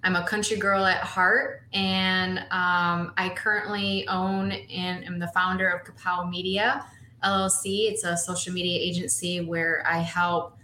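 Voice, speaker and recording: A woman's voice: on the low side, level tone, measured pace, sharp tone, a little hiss or hum. A woman from Michigan, a coach and guest on a podcast, speaking in an earnest, motivational way. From Michigan, USA. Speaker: guest, social media and women's coach. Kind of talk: podcast interview. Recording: roomy echo.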